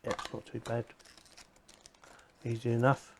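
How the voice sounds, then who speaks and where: A man's voice: mid-range voice, completely flat delivery, unhurried, vocal fry, a little hiss or hum. A man presenting a casual hands-on gadget unboxing, chatting informally and thinking aloud as he goes. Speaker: video presenter reviewing gadgets.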